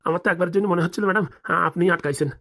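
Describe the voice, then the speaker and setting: Guy doing flattering servant for a comedy cartoon, humble village talk, really really fast. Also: high-pitched. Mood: fawning. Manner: fawning, colloquial rustic speech. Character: deferential, flattering household servant of a village madam. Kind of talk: comic cartoon dialogue.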